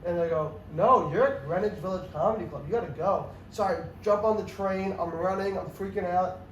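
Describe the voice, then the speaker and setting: A young man from the New York City area, a guest comedian, telling a story in casual chat, rambling and excitable with lots of restarts. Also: high-pitched, fairly quick, lo-fi sound. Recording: echoey room, mic at a distance, faint noise.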